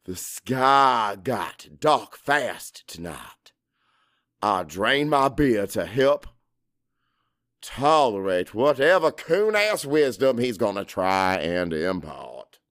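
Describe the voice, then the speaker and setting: A man reading dramatic first-person audiobook narration in a brooding, bitter, deliberate manner. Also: lowish voice, very animated, fairly bright tone, no echo, studio quality.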